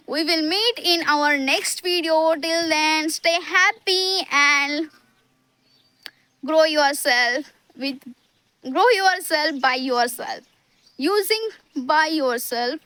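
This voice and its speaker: High, slow-spoken young Indian woman. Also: pushed and bright, no echo. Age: young adult. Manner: hesitant, restarts often, earnest and encouraging. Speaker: vlogger. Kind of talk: motivational vlog to camera. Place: outdoors in a village.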